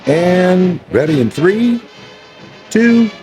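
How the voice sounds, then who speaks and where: An actor playing an unbothered radio producer giving dry, clipped countdown cues in a drama, off-mic with music behind. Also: male voice, sits high, lively intonation, very slow, heavily acted, poor audio.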